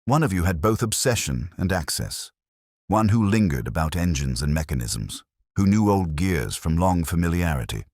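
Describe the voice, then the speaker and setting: A man voicing a mild, courteous police inspector in a mystery story, speaking formally and deliberately. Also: low-pitched, very animated, darkish tone, no echo, studio quality. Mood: calm, deliberate. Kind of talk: acted dialogue in story narration.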